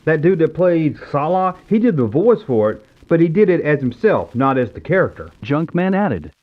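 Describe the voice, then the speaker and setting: American guy in his 50s, lively storybook style narrator, higher voice, sounds thin like a phone. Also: moderate pace. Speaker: YouTube show host. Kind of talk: scripted nostalgic narration in read-along storybook format. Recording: tinny phone-like narrow-band sound.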